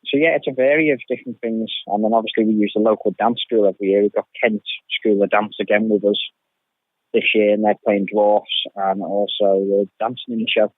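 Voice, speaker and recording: Low-voiced man on a phone-like line. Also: dry recording. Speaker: interviewed pantomime producer. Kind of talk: informal radio interview answers. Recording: thin phone-like radio interview sound.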